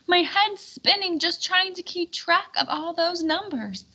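A woman's voice: extremely high pitch, a little slow, bright, forceful tone, voice pushed up, full character voice. A woman voicing a harried, fretful medieval lady planning a feast in a storybook. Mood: flustered. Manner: exclaiming, fretful.

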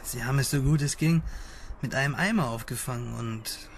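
A man's voice: mid-range voice, brisk, slight background noise, close to an everyday voice. A man playing a hesitant, slightly shaken witness, talking casually in a radio drama. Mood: wry, uneasy. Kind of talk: conversational radio drama dialogue.

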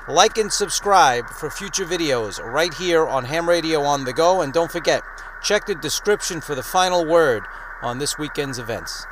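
Higher-pitched man vlogging over background noise. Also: expressive, moderate pace. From New York, United States. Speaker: amateur radio vlogger and operator. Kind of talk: casual hobby vlog narration.

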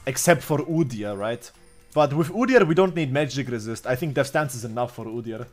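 A man's voice: mid-range voice, lively intonation, brisk, faint music behind. A man in his twenties streaming a game live, thinking aloud in a profane, offhand way. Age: 20s. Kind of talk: live gameplay commentary. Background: faint background beat.

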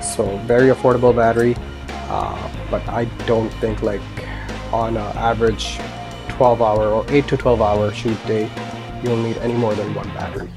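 A man's voice: on the low side, level tone, normal speed, gravelly. Man reviewing gear over background music. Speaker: gear reviewer, indie filmmaker. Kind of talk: casual product review. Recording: music under the voice. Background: instrumental music with guitar.

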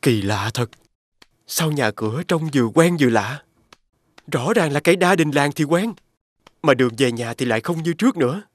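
A man voicing a homesick, courteous young scholar in an animated fairy tale, polite and earnest. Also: fairly high pitch, moderate pace, long pauses, no room sound. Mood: puzzled, friendly.

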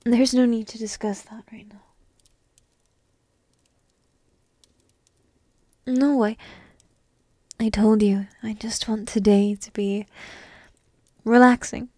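A woman voicing a petite, playful, secretly affectionate dragon princess in a soft, breathy ASMR roleplay, teasing slowly and often slipping into whispers close to the mic. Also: on the high side, steady tone, no echo. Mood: earnest, insistent. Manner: slow, teasing, intimate delivery with pauses. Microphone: close microphone in a dry room.

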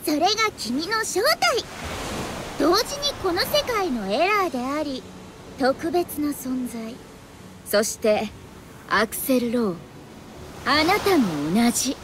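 An otherworldly young female being from an anime game. Her voice is very high and expressive, both playful and solemn. Noisy game ambience is heard behind it. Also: slow, long pauses, heavily acted. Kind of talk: anime video game voice acting.

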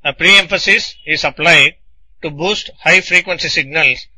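Bright, forceful male technical lecturer. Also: a little high, rough recording. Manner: deliberate, didactic, explains in short phrases with rhetorical questions. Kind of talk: scripted technical lecture narration.

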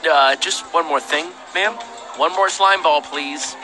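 A man's voice: fairly high pitch, a little slow, lightly acted. An actor playing an earnest, pleading young man, the scrappy hero of an adventure game, talking casually. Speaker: game protagonist. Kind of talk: animated game dialogue. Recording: game music behind the voice.

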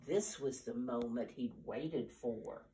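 An older American woman in her seventies or beyond talks and reads to preschoolers at storytime, in simple, deliberate, teacher-like phrases, recorded from a few steps away in a living room. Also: very deep voice, mellow, far from the mic. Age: 70s or older. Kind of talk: storytime talk and picture-book reading for young children. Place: living room at home, indoors. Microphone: camera a few steps away.

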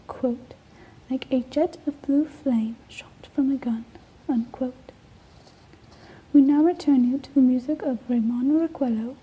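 A woman's voice: sits high, warm tone, husky, exaggerated voice, poor audio. A woman playing a formal radio news announcer reading bulletins in a radio drama.

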